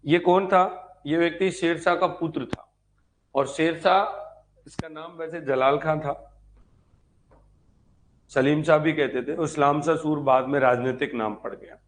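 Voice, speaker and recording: A man's voice: fairly high pitch, steady tone, a little slow, pauses often, poor audio. A man giving an informal live history class as a teacher, instructive, repeating key points and checking in with students. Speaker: history teacher for exam preparation.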